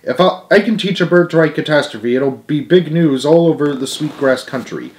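Man as a stern, emphatic female schoolteacher, pitched up. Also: normal speed, darkish tone, lightly acted. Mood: musing. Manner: emphatic, commanding classroom delivery. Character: stern, brisk female first-grade schoolteacher.

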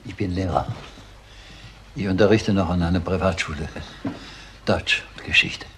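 An actor playing a grieving, bitter older schoolteacher in a crime drama, speaking haltingly and insistently. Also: male voice, sits low, fairly bright tone, a little hiss or hum. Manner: halting, emphatic, repeating himself. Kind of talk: German crime drama acting.

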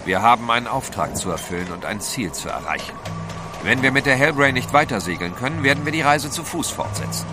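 An actor playing a resolute gentleman adventurer in a German audio drama, formal, polite and commanding. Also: mid-range voice, animated, fairly quick, over music, lightly acted. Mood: determined.

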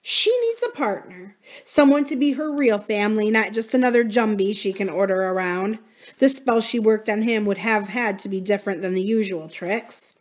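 A woman's voice: mid-range voice, lively intonation, normal speed, close to an everyday voice. A woman voicing a stern, cryptic old witch who gives grave, emphatic warnings in an audiobook story. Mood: urgent, ominous.

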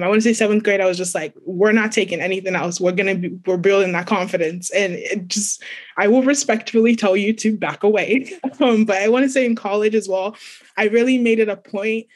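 A woman's voice: medium pitch, steady tone, a little fast. A young woman from Nigeria with a light Nigerian accent, telling a personal story on a webinar panel, hesitant and full of restarts. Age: young adult. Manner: hesitant, searching for words with many restarts. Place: home, on a video call. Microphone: video call.

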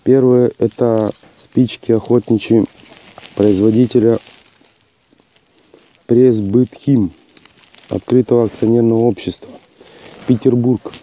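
A man with a hoarse voice from a cold, testing gear outdoors in a plain, matter-of-fact way with hesitant fillers. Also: mid-range voice, fairly even intonation, a little slow, long pauses, dark tone, poor audio. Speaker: outdoor channel host. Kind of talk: casual outdoor gear test. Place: outdoors on a rocky hillside.

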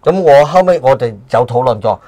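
A man's voice: mid-range voice, expressive, fairly quick, dark tone, no echo. An older man with a Hong Kong accent hosting a casual chat and reminiscing in a small indoor room. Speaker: talk show host.

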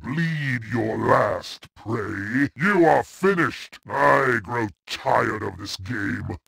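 A man's voice: medium pitch, lively intonation, drawn-out words, no echo, heavily acted. An actor voicing a savage, boastful alien brute warrior who taunts and threatens his prey in game combat lines. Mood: menacing. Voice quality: gravelly, growling menace. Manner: taunting barks and shouted threats.

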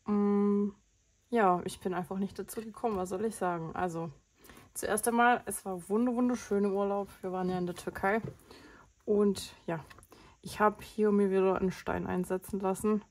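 A woman's voice: medium pitch, steady tone, moderate pace, darkish tone. A woman with a cold hosting a budgeting vlog, chatting casually and rambling through her expenses with lots of fillers. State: has a cold. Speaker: budgeting channel host.

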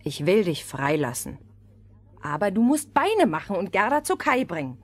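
A wild young robber girl orders and teases in a fairy-tale record play. Her voice is fairly low and very animated in pitch. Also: normal speed, slightly rough. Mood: teasing. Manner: bossy, teasing. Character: wild robber girl, bossy, teasing, rough-hearted. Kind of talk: radio play acting.

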